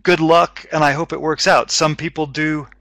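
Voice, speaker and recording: Bright-voiced middle-aged man presenting a webinar. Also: on the high side, level tone, gravelly, no echo. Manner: measured, explaining with frequent restarts. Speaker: webinar presenter, English teaching author. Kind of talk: educational webinar talk. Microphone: headset mic.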